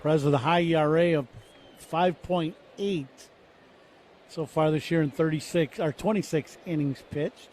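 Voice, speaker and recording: A man's voice: on the high side, fairly even intonation, normal speed, a little mellow, a little hiss or hum. A man giving conversational, analytical color commentary during a radio baseball broadcast.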